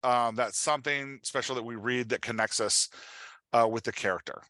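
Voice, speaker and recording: A man giving an informal workshop as a writing coach, talking in an animated, conversational way and often checking in with his listeners. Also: medium pitch, normal speed, dry recording. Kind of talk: informal online workshop presentation.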